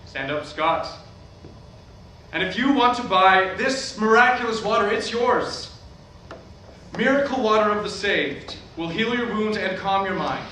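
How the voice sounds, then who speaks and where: A man's voice: high-pitched, expressive, measured pace, sharp tone, full character voice. A man playing a showy middle-aged evangelist preacher, delivering a theatrical, emphatic sermon with a salesman's flair. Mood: rousing, salesy. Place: staged reading in a hall, recorded from afar. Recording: distant echoey hall, noisy room recording.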